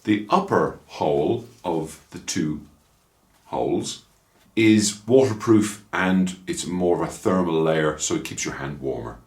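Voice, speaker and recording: Irish guy in his 50s, low voice, chatty rambling gear review, roomy echo at home. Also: unhurried, a little mellow. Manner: chatty, rambling, dry asides. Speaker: motorcycle vlogger. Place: small room at home, indoors. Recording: echoey small room.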